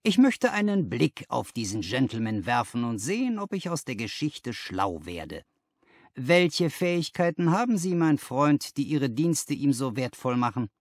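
A shrewd detective from a classic storybook, acted out by a male reader, who savors his words deliberately. His pitch rises and swings widely as he weighs things up, pleased and pensive, in a dry, close sound. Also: big pitch swings, no echo. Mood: pensive, probing.

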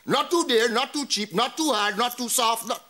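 An actor with a Guyanese accent playing a pompous, boastful older barber in a sitcom. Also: male voice, very high-pitched, normal speed, noisy background. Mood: grandiose. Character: boastful older male barber, self-important. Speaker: barber shop owner. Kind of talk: sitcom acting.

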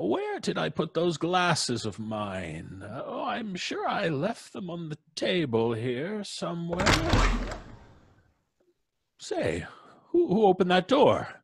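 Lively old radio-drama judge. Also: male voice, high-pitched, gravelly, slightly rough, dry recording, studio quality, full character voice. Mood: alarmed. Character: old judge, polite, unafraid, then rattled by a ghost. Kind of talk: old-time radio horror acting.

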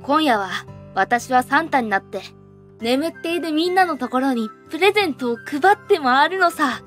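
A woman's voice: sits high, expressive, moderate pace, soft background music. A woman playing a mischievous tomboyish young witch in an anime-style fan voice drama, brash and boyish in her speech. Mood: cocky, scheming.

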